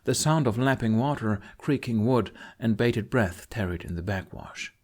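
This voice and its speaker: A man's voice: lowish voice, expressive, normal speed. A man reading dramatic fiction as a scripted audiobook narrator.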